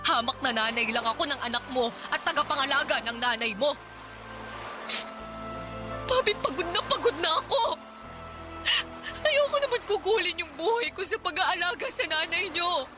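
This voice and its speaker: A woman's voice: very high voice, expressive, moderate pace. A Filipino actress playing an exhausted, bitter common-law wife and mother in a radio drama, reproachful, sarcastic and emotional. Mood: sarcastic, tearful. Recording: music bed under the dialogue.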